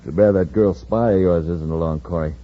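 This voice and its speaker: An actor playing a gruff, mocking henchman in an old radio space drama, talking in sneering slang on a muffled, noisy recording. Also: low-pitched, brisk, natural voice. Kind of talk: 1950s radio drama acting.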